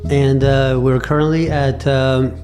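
A man in his fifties from Argentina, telling his life story in an interview with a thoughtful, halting manner and many pauses. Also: medium pitch, level tone, a little mellow, soft background music. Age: 50s. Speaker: interview guest, cafe owner. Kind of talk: reflective personal storytelling.

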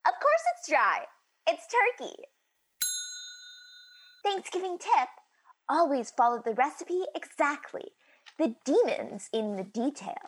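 A woman's voice: high voice, very animated, slow, long pauses, heavily acted. A woman playing a bitter, scheming housewife who hosts a cooking show in a comedy radio play, mock-cheerful and sardonic. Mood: sardonic, perky.

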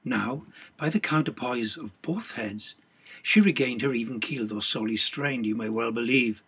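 A man reading literary narration for an audiobook in a husky, gravelly voice. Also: medium pitch, normal speed, fairly bright tone.

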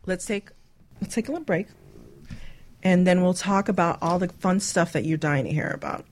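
A woman radio host with a slightly low voice chats casually and bawdily. She is brash and teasing, like someone needling friends on a talk show. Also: expressive, moderate pace. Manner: teasing, brash, laughs often.

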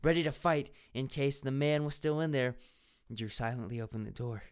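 A man's voice: mid-range voice, moderate pace, clear, resonant tone. A young man reading a children's mystery book aloud in a mocking, dramatic way, breaking off to make sarcastic comments. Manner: sarcastic, laughing at the text.

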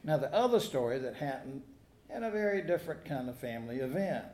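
A man preaching a sermon, reading a gospel passage and then telling stories in a measured, earnest way. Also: mid-range voice, big pitch swings, a little mellow. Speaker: pastor. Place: church sanctuary. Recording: echoey church.

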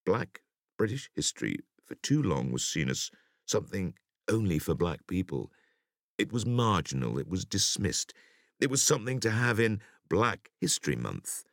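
Really deep voiced guy reading audiobook, measured n emphatic, swoops around, super dry sound. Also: big pitch swings, no echo. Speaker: audiobook reader. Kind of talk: audiobook reading of an interview essay.

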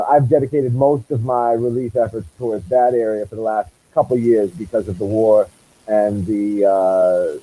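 A man's voice: on the low side, warm tone, low-quality recording. A man with a Boston accent, a musician answering interview questions in a conversational way. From Massachusetts, USA. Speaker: musician guest.

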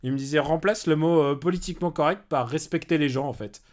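A man's voice: a little high, fast. A man speaking as a podcast guest and comedy film critic, giving opinionated views in long, rambling stretches of casual talk.

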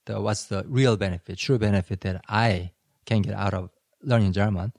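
A man whose first language is Korean, co-hosting a podcast in a casual chat, measured and reflective. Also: fairly low pitch, steady tone, a little mellow, no echo. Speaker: podcast co-host.

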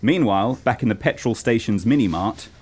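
A man's voice: on the low side, lively intonation, fairly quick, darkish tone. A man from Norway giving scripted narration for a radio play. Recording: clear background noise.

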